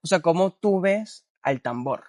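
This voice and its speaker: A man's voice: high voice, expressive, unhurried, a little mellow, no echo, studio quality. A man hosting an interview, enthusiastic and full of restarts. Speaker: interviewer, host. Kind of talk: interview conversation.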